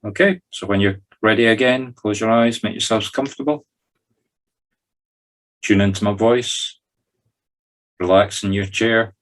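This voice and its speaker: A man's voice: fairly low pitch, measured pace, pauses often, fairly bright tone. A Scottish man leading a guided meditation, talking listeners through each step in an informal, conversational way. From Scotland. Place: recorded in a group video call.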